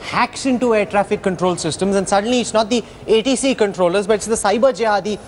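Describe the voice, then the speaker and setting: A man's voice: high voice, fast, a little hiss or hum. A man with an Indian accent anchoring a broadcast news interview, asking probing questions with dramatic phrasing.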